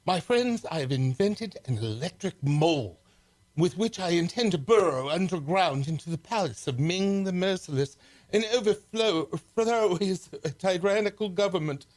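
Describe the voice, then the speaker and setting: A man's voice: high-pitched, expressive, normal speed, darkish tone. A man playing a stern, commanding alien prince who leads rebels, in formal old-time radio serial acting. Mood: grand, earnest.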